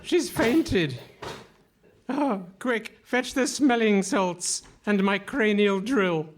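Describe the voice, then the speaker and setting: Woman playing slow, doddery eccentric old man. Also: mid-range voice, over music. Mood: doddery, alarmed. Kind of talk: Goon Show comic radio theatre acting. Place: live performance in a hall. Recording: noisy live stage recording.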